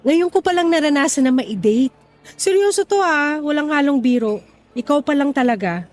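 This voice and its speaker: A woman playing a shy, inexperienced woman on her first date, nervous and quick to laugh. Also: high-pitched, animated, faint music behind. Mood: playful. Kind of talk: radio drama acting.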